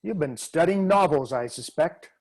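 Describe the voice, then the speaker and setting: A man's voice: on the high side, animated, normal speed. A man reading the part of a stern, scornful elderly father, speaking with mocking indignation in a dramatic reading over a video call.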